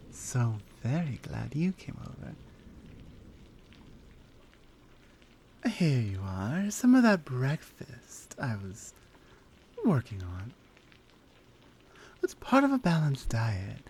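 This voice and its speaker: A woman voicing a flirty, confident lioness in an intimate, teasing ASMR roleplay, softly coaxing and often slipping toward a whisper. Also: extremely low pitch, heavily acted. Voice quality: soft, breathy. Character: flirty, confident, forward adult lioness armorsmith.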